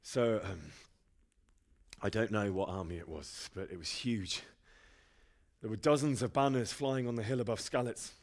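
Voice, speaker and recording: An actor playing an earnest, humble young blacksmith's son in a video game cutscene, hesitant and respectful. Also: male voice, fairly low pitch, moderate pace, natural voice. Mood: shaken, earnest.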